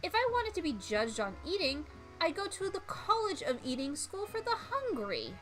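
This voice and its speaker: A woman's voice: very high-pitched, sing-song, moderate pace, fairly bright tone, soft background music, pitched above the performer's own voice, full character voice. A woman voicing a haughty, two-faced young female culinary student in a visual novel, prim and condescending.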